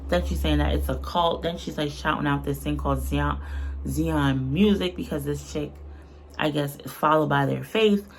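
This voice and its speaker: Blunt woman vlogger with a creaky voice. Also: low voice, moderate pace, slight background noise. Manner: blunt, colloquial, earnest. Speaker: vlogger giving a reaction commentary. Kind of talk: casual, unscripted opinion talk.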